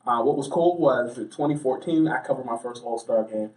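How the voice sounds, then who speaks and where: A man's voice: mid-range voice, a little fast, a little mellow. An American man giving talkative, anecdotal answers as a sports journalist being interviewed. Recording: roomy, distant mic.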